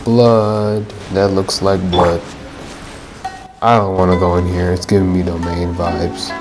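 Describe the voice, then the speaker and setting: Very low-voiced woman gamer, casual commentary. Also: little pitch movement, unhurried. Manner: slangy, informal, jokey. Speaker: gamer reacting to a game while playing. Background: game music.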